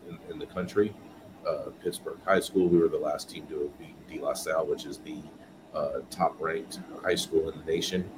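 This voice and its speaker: A man from California talks as a podcast guest, his voice very low. He tells his story earnestly, doubling back on words as he goes. A steady background noise sits under his voice. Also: moderate pace. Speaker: guest, business owner.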